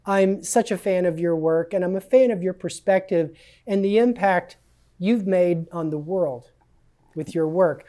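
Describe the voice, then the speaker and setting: A man hosting an interview podcast, enthusiastic and quick with affirmations. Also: sits high, mellow. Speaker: host.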